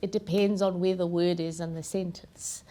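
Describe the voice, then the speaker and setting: A woman from South Africa speaking as a deputy central bank governor on a panel, steady and explanatory. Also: on the low side, normal speed. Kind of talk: panel discussion.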